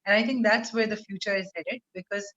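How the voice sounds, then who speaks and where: A woman, explaining her school program in a steady, articulate video call interview from a room at home. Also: mid-range voice, fairly quick. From Pakistan. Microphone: webcam on video call. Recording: muffled video call audio.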